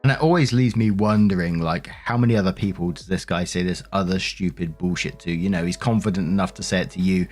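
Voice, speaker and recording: A man's voice: low-pitched, fast talker, few pauses, faint music behind. A man hosting and narrating online stories, mixing scripted reading with casual spoken commentary.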